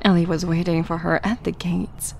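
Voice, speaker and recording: A woman's voice: on the low side, level tone, moderate pace, vocal fry, a little mellow, a little hiss or hum. A woman giving scripted third-person narration for an audio drama.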